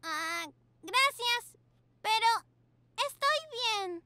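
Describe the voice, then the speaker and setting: A woman voicing an earnest, excitable little girl pug in a preschool cartoon, childlike and expressive, with music behind her. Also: very high voice, extremely slow, no room sound, full character voice. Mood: apologetic, subdued.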